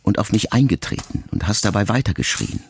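A man reading a first-person letter as an audiobook narrator, calm and measured. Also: fairly low pitch. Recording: music bed under the voice.

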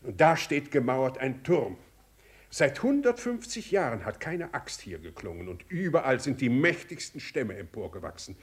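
An actor playing a sprightly old aristocratic uncle in a literary radio play, eagerly explaining with hesitations and repeated words. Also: male voice, medium pitch, sing-song, moderate pace, natural voice. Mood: absorbed, enthusiastic. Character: sprightly old princely uncle, enthusiastic and rambling.

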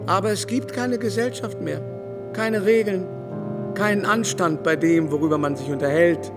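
A man's voice: on the high side, close to an everyday voice. An actor playing an aging, haughty male aristocrat, indignant and gossipy, declaiming theatrically in a literary radio drama. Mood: scandalized. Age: older. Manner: theatrical, declamatory, long-winded lamenting. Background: musical score.

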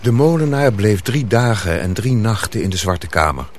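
Lowish-voiced male radio drama narrator. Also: very animated, normal speed, slight background noise.

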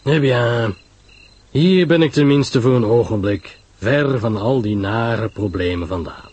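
A man's voice: medium pitch, expressive, unhurried, darkish tone, slightly rough, no echo. A man voicing a grave, curt detective in a mystery story, speaking sharply with French interjections. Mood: weary. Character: grave, curt male detective giving stern advice.

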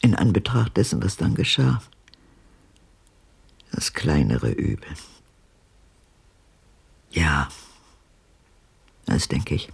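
An older actress playing a haunted old woman in a radio drama, speaking haltingly in a hushed, husky, gravelly, breathy voice. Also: rumbling low, big pitch swings, heavily acted. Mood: bleak, resigned. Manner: halting, hushed, deliberate delivery. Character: haunted old woman recalling her father's abuse, halting.

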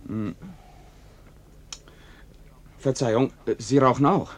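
An actor playing an urbane radio presenter in a radio play, measured, politely probing and dryly ironic. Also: male voice, mid-range voice. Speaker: narrator and interviewer within a radio play.

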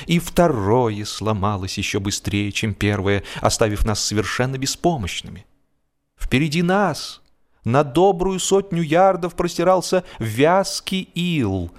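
A man reading a humorous story as scripted audiobook narration, expressively, drawing out the ends of his phrases. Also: medium pitch.

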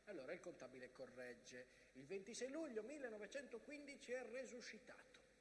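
A fierce, mournful hill recounting war. The voice is extremely high and intense, declaimed as a theatrical monologue. The recording has clear noise behind it. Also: male voice, lively intonation, brisk. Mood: wry. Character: fierce, mournful talking hill, chronicler of battle.

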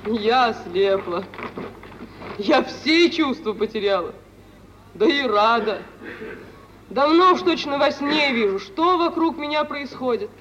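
An unhappy young woman dreaming of escape, in a very high voice. She draws her words out slowly and theatrically, full of longing. It sounds like an old, muffled radio play. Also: lively intonation, a bit bright. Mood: weary longing. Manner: theatrical, yearning, drawn-out. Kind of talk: mid-century Russian stage drama acting for radio. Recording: dull 1950s radio recording, faint music.

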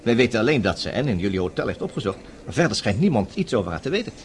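An actor playing a brisk, inquisitive investigator in a Dutch radio play, eager and probing in conversation. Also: male voice, on the low side, sing-song. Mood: eager, curious. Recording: radio play with noisy background ambience.

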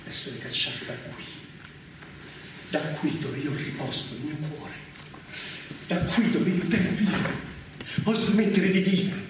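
An actor playing a jealous general in a stage tragedy, swinging from hushed menace to shouting at his wife. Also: high-pitched, expressive, a little slow, pauses often. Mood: anguished. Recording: very echoey, distant, noisy stage recording.

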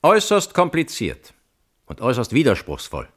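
An actor in a radio play voicing a hardline security colonel who testifies formally and precisely. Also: male voice, medium pitch, huge pitch swings, normal speed, a bit bright. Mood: matter-of-fact. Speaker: witness at a hearing. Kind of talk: radio play courtroom acting.

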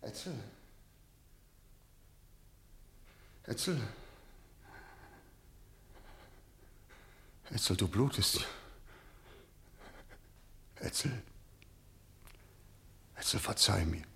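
A man's voice: lowish voice, very animated, slow, vocal fry, long pauses, a bit bright, full character voice. An actor playing a stern, pompous middle-aged prosecutor and father in a German radio play, speaking in formal, lecturing phrases. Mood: shaken, remorseful.

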